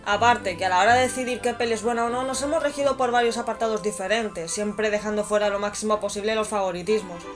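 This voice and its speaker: Female, Spain accent, low-set voice, scripted review narration, blunt and informal, faint music behind. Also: fast talker, hardly any pauses.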